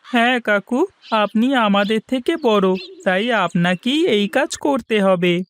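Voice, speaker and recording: Woman voicing an earnest, slow-talking village boy. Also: a little high, lively intonation. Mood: helpful. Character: young village boy, eager, respectful, mud-covered fisher. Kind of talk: cartoon voice acting.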